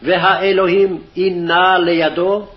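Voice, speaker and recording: A man's voice: high-pitched, little pitch movement, fast. A man formally reciting scripture phrase by phrase, on a dull, lo-fi archival recording. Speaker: scripture reader. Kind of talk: scripted Hebrew Bible reading.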